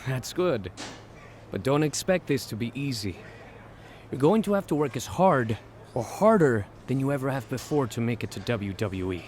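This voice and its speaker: A man's voice: medium pitch, animated, moderate pace, darkish tone, natural voice. Guy as a skeptical stern interviewer in a game, challenging, mid voice that moves up and down a lot. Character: stern, skeptical male interviewer at wrestling tryout. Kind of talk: game cutscene dialogue.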